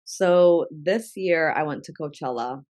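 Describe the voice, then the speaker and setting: A woman's voice: sits low, measured pace, a little mellow, dry recording. Chatty young woman, dry close sound. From New York. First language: Spanish. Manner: chatty, lots of "like" fillers. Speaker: guest, travel content creator. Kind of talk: casual interview talk.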